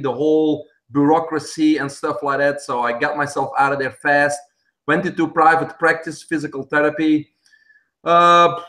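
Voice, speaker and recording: A middle-aged man with a Belgian accent speaks in a fairly high voice for a man. He answers as an interview guest and lays out his points calmly, step by step. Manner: explains plainly, step by step. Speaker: guest, stem cell clinic head.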